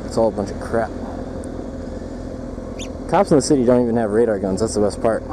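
American man vlogging over loud road noise. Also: lowish voice, fairly quick. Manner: joking, irreverent, self-deprecating. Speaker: motovlogger. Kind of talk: casual rambling live commentary while riding. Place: outdoors riding a motorcycle in city traffic. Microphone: external mic worn while riding. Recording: loud engine and wind noise. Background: motorcycle engine, traffic and wind.

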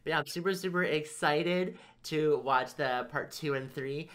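A man's voice: a little high, a little slow, fairly bright tone. A man in his thirties giving casual reaction commentary as a video host in a small room. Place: small plain indoor room.

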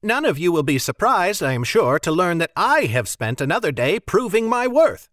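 A man doing an eager boastful serving boy, pitched up. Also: sing-song, moderate pace, dry recording, studio quality. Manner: bright, self-satisfied chatter. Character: boastful eager young male servant boy.

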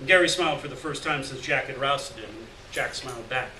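A man's voice: mid-range voice, a little fast, sharp tone. A middle-aged man reading a short story aloud at a live event, expressively and acting out the dialogue. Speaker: reader at a live literary event. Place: small indoor gallery, live event. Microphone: gooseneck mic at lectern, far camera. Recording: roomy, distant mic, faint background noise.

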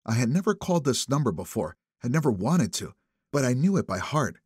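A male audiobook narrator with a husky voice and very lively pitch. He reads first-person thriller prose dramatically, building suspense, in a dry close sound. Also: brisk, no room sound. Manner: expressive, suspenseful phrasing.

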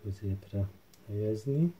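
A man narrating a hands-on tutorial casually, hesitant and halting as he thinks aloud while working. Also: on the low side, expressive, mellow. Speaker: DIY tutorial host. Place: indoor workbench. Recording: faint background noise with handling clicks.

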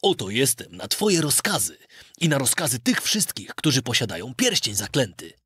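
A solemn male spirit of a magic ring, speaking in a steady, formal, ringing way, a touch lower than the reader's own voice. There is a husky grain to it, as a man puts it on in a storybook reading, and the sound is dry and close. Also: normal speed, no room sound, full character voice.

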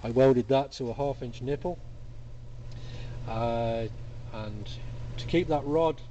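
A man casually explaining a workshop build in a relaxed, plain-spoken way, full of "uh" and "basically", filmed up close on a camera mic at a cluttered bench. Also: mid-range voice, slow pace, lo-fi sound, background noise. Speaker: hobby engineer demonstrating a build.

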